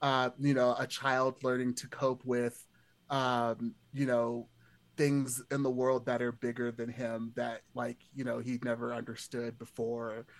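A man's voice: mid-range voice, monotone, a little slow. A man talking casually about film as a podcast guest, in rambling, circling sentences peppered with "like".

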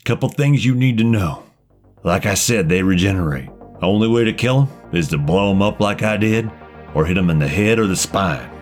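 Man as bounty hunter, low-pitched, cocky, flirtatious, smooth-talking, tabletop game. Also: expressive, moderate pace, soft background music. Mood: businesslike.

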